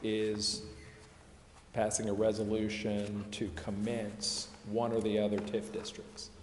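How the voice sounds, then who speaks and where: A man's voice: lowish voice, monotone, slow. A man explaining things at a public meeting as a consultant, hedging and repeating himself as he goes. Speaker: consultant presenting to a city council. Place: large indoor council chamber. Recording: echoey meeting hall with background noise.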